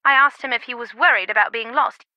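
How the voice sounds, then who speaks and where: A woman's voice: a little high, fast, dry recording, dull audio, close to an everyday voice. A woman playing a worldly, wry antiquities expert in an adventure game, talking in a conversational, confiding way. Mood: chatty, amused. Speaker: video game voice actor. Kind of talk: scripted adventure game dialogue.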